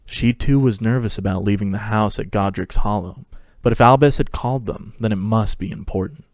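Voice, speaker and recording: A man's voice: lowish voice, a little fast, dry recording, studio quality. A man hosting and narrating a dramatic reading of a fan fiction story.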